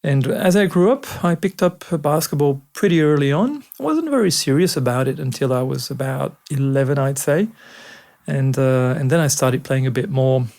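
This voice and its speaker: Gravelly-voiced man in his forties. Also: mid-range voice, moderate pace. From Switzerland. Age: 40s. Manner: relaxed, thoughtful, many fillers. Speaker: guest, sports doctor. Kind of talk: casual conversation. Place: home, indoors.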